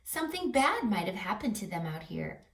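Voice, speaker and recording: A woman voicing kind forest animals from a children's storybook in a gentle, coaxing, expressive way. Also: medium pitch, moderate pace. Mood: gentle, concerned. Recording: echoey room, distant mic, music.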